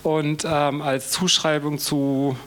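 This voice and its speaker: Halting man moderating a panel. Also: on the high side, flat delivery, fairly bright tone, slight background noise. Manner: halting, repeats words when stuck.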